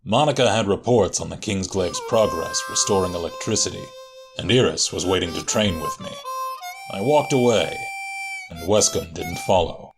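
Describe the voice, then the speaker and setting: A man's voice: sits low, moderate pace. A man playing a blunt, irritable and stubborn military marshal in an audio drama. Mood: brooding. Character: gruff, stubborn military marshal, guilt-ridden, defensive. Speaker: voice actor. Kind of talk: audio drama dialogue and narration. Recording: music under the voice.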